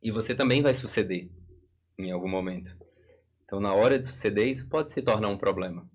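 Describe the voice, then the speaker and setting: Brazilian male expert, lowish voice, didactic explainer for a live talk. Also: brisk. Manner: didactic, works through hypothetical examples.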